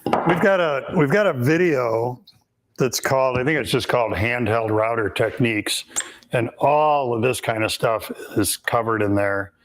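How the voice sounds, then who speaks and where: A man with a raspy, hoarse voice, hosting a live woodworking Q&A and explaining techniques step by step in a shop, with frequent ums. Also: medium pitch, measured pace, a little hiss or hum. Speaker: woodworking instructor and host.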